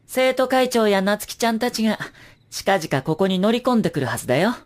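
A woman's voice: mid-range voice, sing-song, a little fast, close to an everyday voice. A woman playing a sly, playful teenage boy informant in an anime audio drama, breezy, teasing and knowing. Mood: breezily knowing.

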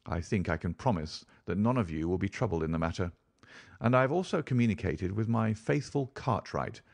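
A man's voice: low voice, creaky, darkish tone, exaggerated voice. A man voicing a keen, commanding detective in an audiobook, assured, precise and theatrical, with dry amusement. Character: keen, commanding male detective, dryly amused and relishing the hunt. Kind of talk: audiobook character voice.

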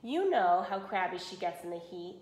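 A woman's voice: lowish voice, very animated, moderate pace, darkish tone. A woman voicing an eager, polite, trusting little girl from a storybook, in an earnest, chirpy way. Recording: roomy echo of a small room.

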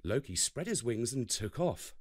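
A man's voice: mid-range voice, very animated, normal speed, slightly rough. A man narrating a scripted children's story as a storyteller.

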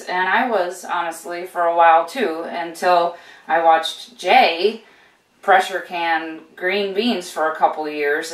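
A woman's voice: fairly low pitch, distant mic. An older woman hosting a casual homesteading how-to, chatting encouragingly as she shows gear, with plenty of fillers and restarts. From United States. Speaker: homesteading channel host. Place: home kitchen with steel counters, indoors. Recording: roomy echo, voice off-mic.

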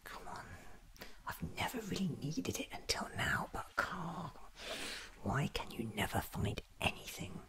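A man in his thirties doing an ASMR roleplay as a scatterbrained, excitable alien doctor, muttering to himself in fragments, often in a whisper. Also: a little high, unhurried. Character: scatterbrained, excitable time-travelling alien doctor, newly regenerated. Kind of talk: ASMR roleplay acted as a monologue.

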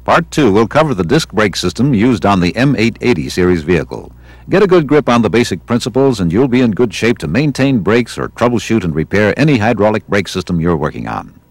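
An American man reading scripted instructional narration for a training film in a measured, didactic way. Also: lowish voice, big pitch swings, no echo. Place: studio voice-over.

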